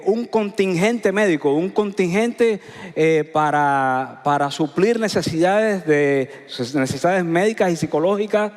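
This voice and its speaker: A middle-aged Cuban man preaches in a relaxed, conversational way, pausing often on fillers. His voice sits fairly high, and a long reverb trails each phrase through a large church hall. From Cuba. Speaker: pastor. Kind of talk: sermon.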